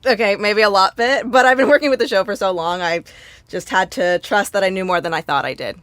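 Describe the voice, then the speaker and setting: Woman guest in a casual interview, medium pitch, jokey and self-deprecating, super dry sound. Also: a little fast, a bit bright, no room sound, soft background music.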